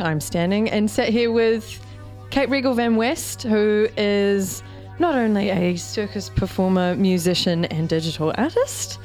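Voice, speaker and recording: A woman hosting a radio interview, lively and bantering with her guest. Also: medium pitch. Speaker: host.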